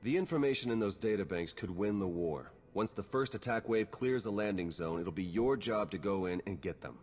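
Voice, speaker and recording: An actor playing a brash, earnest spy agent in a sci-fi video game, talking in a wry, intense, informal way. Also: male voice, on the low side, expressive, a little fast, a little mellow, slightly rough, big-room sound. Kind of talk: video game cutscene acting.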